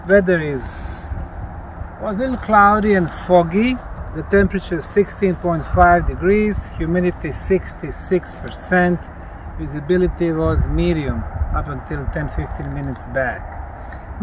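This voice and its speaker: High-pitched man presenting over outdoor noise. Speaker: lead investigator, presenter. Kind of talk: semi-scripted field report. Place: outdoors in a grassy field. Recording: outdoor recording, clearly noisy background.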